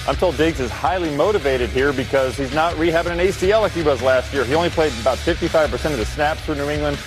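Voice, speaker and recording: A man reports as a football insider in a conversational broadcast style. His voice sits a little high and moves very quickly. Faint music plays underneath him. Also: low-quality recording.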